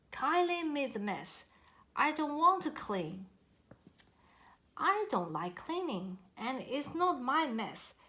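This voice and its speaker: Woman voicing a whiny, high-pitched squabbling young koala. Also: fairly bright tone, full character voice. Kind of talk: children's storybook character voice.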